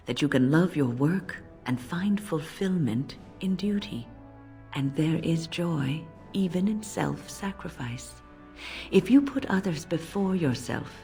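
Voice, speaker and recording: Low-voiced wise older mage. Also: female voice, mellow. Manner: measured, reflective, counselling. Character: wise older female mage, gentle but firm mentor. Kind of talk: dramatic game dialogue.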